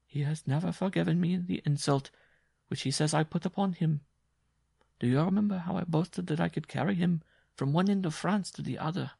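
A man reading a story, voicing an exhausted, frightened circus strongwoman in a raised, pleading voice with a gravelly, husky edge. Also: on the high side, fairly quick, dark tone, no echo, voice pushed up, full character voice. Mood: weary pleading. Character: worn-out desperate circus strongwoman, young adult.

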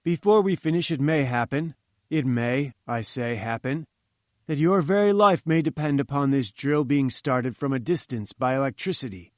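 Man voicing a loud imperious old scientist. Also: medium pitch, lively intonation, moderate pace, dry recording, studio quality, lightly acted. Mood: domineering. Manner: domineering, curt and lecturing. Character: imperious, irascible older male scientist.

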